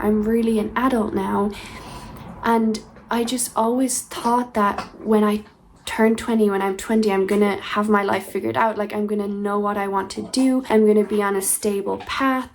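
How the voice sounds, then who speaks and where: A young woman in her twenties speaks in a casual, reflective diary vlog. She rambles, circling back with fillers and restarts as she thinks aloud. Her voice sits at an ordinary pitch over a faint background hiss. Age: 20s. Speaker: diary vlogger. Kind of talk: casual personal diary talk.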